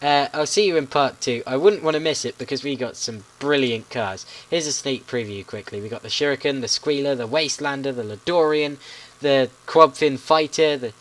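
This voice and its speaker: A man's voice: medium pitch, normal speed, a bit bright, low-quality recording. A teenage boy in secondary school giving chatty, polite play-by-play commentary on a video game, often stumbling over his words. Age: teens.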